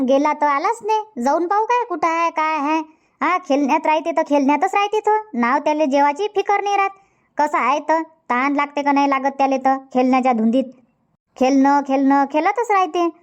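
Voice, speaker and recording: Cartoon village parent, voiced by a woman, very high-pitched, fretful, grumbling, repetitive, Vidarbha Marathi, muffled lo-fi sound. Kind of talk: cartoon comedy monologue. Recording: dull lo-fi cartoon dub.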